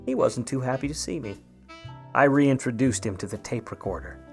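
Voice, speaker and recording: A hard-boiled Hollywood gumshoe acted by a man in an old-time radio play, sardonic and grudging, with a mid-pitched voice. He talks in clipped, slangy tough-guy phrases over a music bed. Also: lively intonation, normal speed, creaky. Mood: world-weary narrating.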